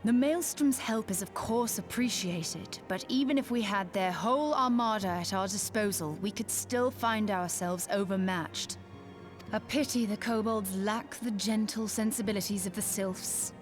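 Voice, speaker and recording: Woman doing a cool brainy fantasy game adviser lady, mid pitch, dry and formal, music playing behind her. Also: normal speed, close to an everyday voice. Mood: dry, serious. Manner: formal, dry, archaic wording. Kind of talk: scripted fantasy game dialogue.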